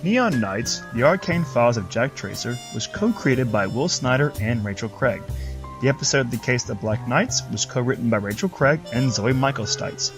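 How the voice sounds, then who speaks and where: A man's voice: medium pitch, animated, moderate pace, music in the background. A man reading scripted end credits as an announcer for an audio drama.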